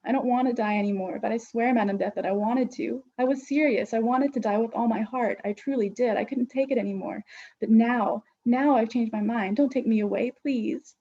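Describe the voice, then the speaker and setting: Woman doing a scared little girl, panicked pleas, husky, slightly raised pitch. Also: brisk, mellow, lo-fi sound. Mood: pleading. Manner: pleading, panicked. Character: frightened little girl begging not to die.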